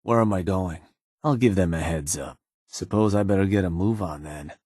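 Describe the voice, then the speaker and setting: An actor playing a shrewd, sardonic gang advisor in a video game, talking in a laconic, folksy, matter-of-fact way about ruthless business. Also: male voice, low voice, moderate pace, darkish tone, dry recording, studio quality. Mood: businesslike. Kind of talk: video game character dialogue.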